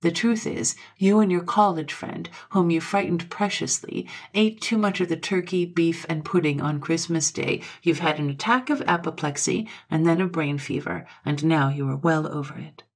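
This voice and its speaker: A woman voicing a calm male doctor in a story, firm, reassuring and matter-of-fact. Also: sits low, moderate pace, hardly any pauses, husky.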